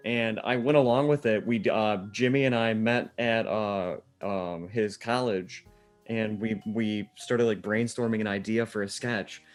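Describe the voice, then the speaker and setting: A man's voice: fairly low pitch, fairly even intonation, unhurried, soft background music. A man talking casually as a podcast co-host, reminiscing among friends. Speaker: podcast co-host, sketch comedy maker.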